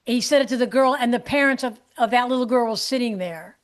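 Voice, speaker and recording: Woman hosting a funny podcast, kinda high voice, laughs a lot, gets loud fast. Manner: animated, laughing, quick to exclaim. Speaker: podcast host. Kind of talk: casual comedic chat.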